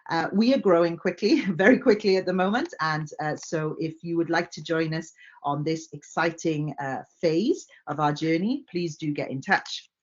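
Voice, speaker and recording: A woman's voice: sits low, moderate pace, dull audio. A Welsh woman giving a conference presentation, friendly and earnest, with frequent "uh" fillers. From Wales. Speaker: company director.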